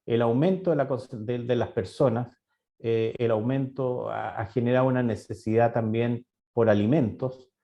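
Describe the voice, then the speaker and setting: A man's voice: mid-range voice, fairly even intonation, normal speed, gravelly. A middle-aged man from Chile giving a webinar talk as a university director, hesitant, with many fillers and restarts. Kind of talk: webinar presentation. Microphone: video call. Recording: dull lo-fi online call audio.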